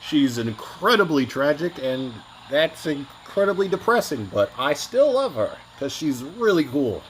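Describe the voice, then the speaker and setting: Slow-talking man with swooping pitch. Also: big pitch swings, a little hiss or hum, poor audio. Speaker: reaction video host. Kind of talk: casual off-the-cuff reaction commentary.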